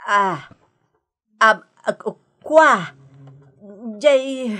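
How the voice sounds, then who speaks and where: A woman's voice: on the high side, lively intonation, extremely slow, bright, forceful tone, exaggerated voice. An actress with an Ilocano accent playing a domineering, quarrelsome mother-in-law who scolds in an exaggerated radio-drama style. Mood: flustered. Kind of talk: Ilocano comic-melodrama radio acting.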